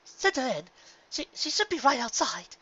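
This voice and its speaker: A genteel lady pony from an audiobook, very high in pitch and swooping widely. She is prim and theatrical, given to indignant, desperate exclamations. The sound is a little lo-fi. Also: very animated, moderate pace, sharp tone, husky, heavily acted. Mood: indignant, alarmed. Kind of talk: fan fiction audiobook character voice.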